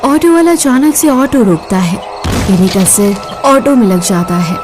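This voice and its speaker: A woman reading scripted narration for an animated horror story as its storyteller. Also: a little high, unhurried. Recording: clear music bed behind the voice.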